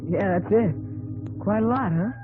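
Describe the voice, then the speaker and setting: A man's voice: high-pitched, measured pace. A man playing a curious, boyish young in a 1950s radio drama. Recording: narrow noisy old radio recording.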